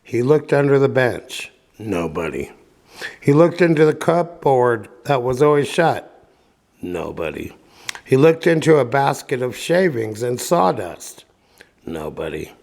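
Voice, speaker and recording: A man reading a children's story aloud as narrator, with deliberate dramatic pauses. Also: mid-range voice, big pitch swings, gravelly, a little mellow. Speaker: audiobook reader.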